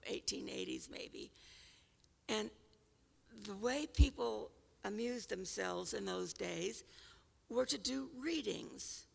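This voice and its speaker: An older woman from California with a raspy voice, unhurriedly telling a personal story about her childhood church. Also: mid-range voice, in a large hall. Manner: unhurried, reflective, with small restarts. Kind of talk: personal reminiscence.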